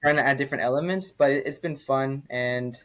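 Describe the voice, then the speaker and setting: A young man talking loosely about his singing and his family's band. He speaks at an unremarkable middle pitch with plenty of "um" and "like" as he wanders through his thoughts, in a casual podcast conversation. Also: brisk. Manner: relaxed, rambling with many fillers. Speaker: singer and podcast guest. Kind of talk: casual interview chat.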